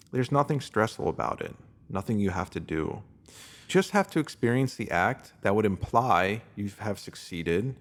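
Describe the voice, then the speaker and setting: A man with a fairly low-pitched voice reads scripted self-help material. His delivery is measured and instructive, with emphasis placed on the important words. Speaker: narrator reading a written post.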